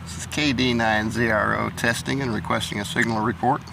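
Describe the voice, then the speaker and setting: A man's voice: mid-range voice, fairly even intonation, fairly quick, vocal fry, noisy background. A man doing casual amateur radio test calls and narration outdoors. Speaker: amateur radio operator and vlogger. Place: outdoors in a local park.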